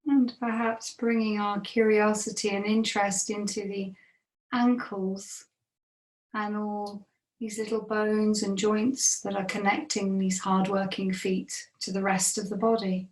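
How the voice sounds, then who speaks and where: A woman meditation teacher leading a guided body scan in a gentle, unhurried manner with long pauses. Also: mid-range voice, flat delivery. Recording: roomy, echoing sound.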